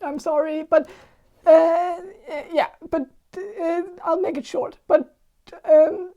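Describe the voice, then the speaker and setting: High-voiced Danish-accented woman, throaty. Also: fairly even intonation, dark tone, dull audio. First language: Icelandic. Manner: halting, searching for words with many restarts. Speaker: tarot reader. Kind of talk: casual tarot reading monologue.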